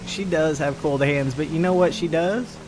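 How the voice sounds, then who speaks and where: A man's voice: fairly high pitch, moderate pace, darkish tone, lightly acted, poor audio. A man playing a rambling, hesitant guy. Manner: rambling, hesitant, lots of fillers. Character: rambling awkward man named Frank, adult. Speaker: roleplay streamer. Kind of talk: improvised character roleplay.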